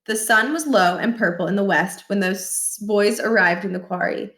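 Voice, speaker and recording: A woman reading a children's novel aloud as expressive, scripted narration. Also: medium pitch, normal speed. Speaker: storybook reader. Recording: roomy echo.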